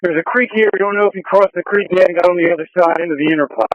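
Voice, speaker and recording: A man with a high-pitched voice comes over a dull police scanner. He is an officer in the field, giving clipped, urgent reports. The sound is dry and radio-processed. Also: racing, no room sound.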